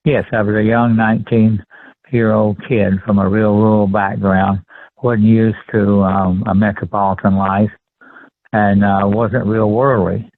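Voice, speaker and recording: Through a thin, phone-like line comes an elderly man from rural Texas with a Texan accent. His voice is on the low side. He recounts memories politely and deliberately, with halting pauses, as the guest in an interview. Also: little pitch movement, no echo. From rural Texas, USA. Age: 70s. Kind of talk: conversational personal recollection. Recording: tinny phone-line sound.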